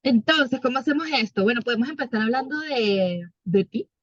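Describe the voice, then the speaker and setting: A woman's voice: a little high, animated, normal speed, dry recording. A woman on a video call, chatting casually and steering the conversation in a friendly way. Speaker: co-speaker moderating. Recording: dull video call audio.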